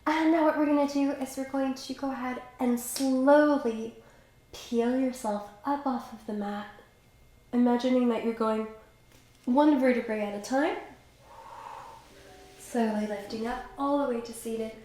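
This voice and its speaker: A young woman with a slight cold, calmly and encouragingly guiding a Pilates workout while she does the moves herself. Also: a little high, unhurried, long pauses. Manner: calm, encouraging, talks while exercising. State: has a cold, slightly stuffy. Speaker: pilates instructor. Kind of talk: guided workout instruction. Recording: very echoey room, faint background noise.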